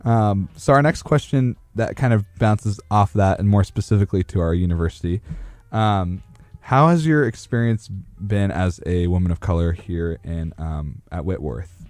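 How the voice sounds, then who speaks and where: Young male interviewer, very low relaxed voice, informal, halting phrasing. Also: no echo, faint music behind. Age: young adult. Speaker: podcast host. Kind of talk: casual unscripted interviewing.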